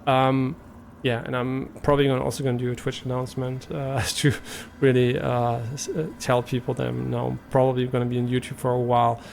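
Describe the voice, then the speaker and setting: A man's voice: medium pitch, flat delivery, brisk, vocal fry, a little mellow, slight background noise. A man from Germany, a native German speaker with a German accent, chatting casually and a bit hesitantly to viewers as a live streamer, thinking aloud as he goes.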